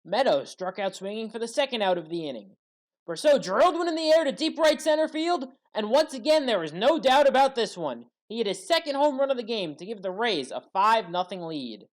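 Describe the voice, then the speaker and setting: Woman sports commentator, very lively intonation. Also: on the high side, fairly quick, rough recording. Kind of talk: scripted play-by-play recap commentary.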